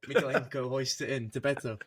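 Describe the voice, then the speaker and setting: A man's voice: mid-range voice, fairly even intonation, a little fast, a little hiss or hum. A young man as a guest on a casual football podcast, telling stories animatedly and laughing mid-sentence over a video call.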